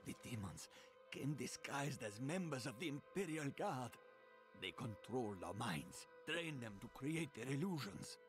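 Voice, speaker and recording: A man's voice: medium pitch, very animated, measured pace, music in the background. An actor playing an earnest, helpful townsman in a fantasy video game.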